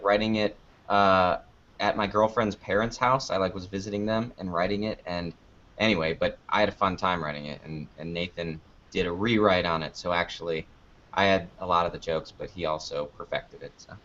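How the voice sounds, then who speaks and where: A man's voice: lowish voice, a bit bright, highs cut off. A man chatting casually and jokingly as a guest being interviewed, with a playful way of talking. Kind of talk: casual chat.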